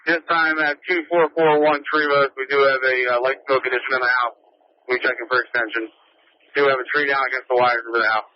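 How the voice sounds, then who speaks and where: A man's voice: medium pitch, fairly even intonation, fast. A man reporting as a fire officer from the field over a two-way radio, terse and matter-of-fact. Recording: noisy, dull lo-fi scanner radio.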